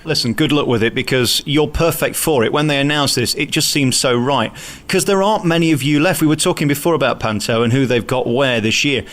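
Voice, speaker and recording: A man's voice: mid-range voice, brisk, a bit bright. A man with an English accent hosting a casual celebrity interview, chatty and full of compliments for the guest. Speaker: radio interviewer, host.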